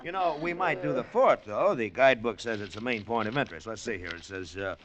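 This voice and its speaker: A man's voice: mid-range voice, fairly quick, a crowd in the background, lightly acted. Breezy, jaunty male tourist, acted. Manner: jaunty, slangy. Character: breezy, needling American male cruise tourist. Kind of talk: 1940s radio acting. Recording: muffled 1940s radio recording.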